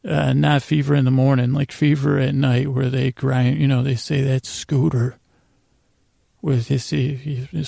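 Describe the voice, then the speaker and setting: A man hosting a bedtime podcast in a creaky, gravelly voice, meandering and halting, trailing off and circling back with deadpan goofy nonsense. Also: mid-range voice, dry recording, studio quality. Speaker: podcast host. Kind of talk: rambling bedtime sleep podcast monologue.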